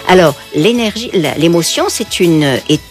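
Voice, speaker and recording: A Parisian woman, a psychotherapist guest on a radio show, explaining calmly in a teacherly way. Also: lowish voice, moderate pace, faint music behind. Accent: Parisian French. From Paris, France. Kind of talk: explanatory interview answers.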